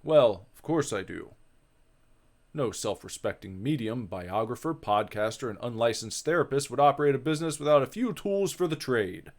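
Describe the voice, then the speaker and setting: A man's voice: medium pitch, expressive, moderate pace, lightly acted. Casting: male reader as quirky medium-podcaster, subdued, matter-of-fact, wordy, visual novel comedy. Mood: self-assured. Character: quirky self-assured medium and podcaster interviewing a ghost. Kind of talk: dramatic reading of a visual novel.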